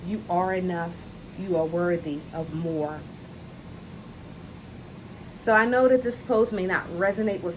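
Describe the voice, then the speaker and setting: Earnest encouraging woman, lowish voice. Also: noisy background. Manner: earnest, encouraging, repeats key phrases. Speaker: self-love coach. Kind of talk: heartfelt motivational talk to camera. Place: outdoors on a hot day.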